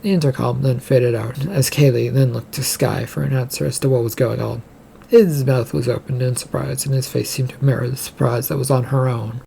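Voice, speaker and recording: A narrator with a husky, creaky voice, reading serial fiction aloud. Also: medium pitch, fairly even intonation, a little fast, mellow, low-quality recording.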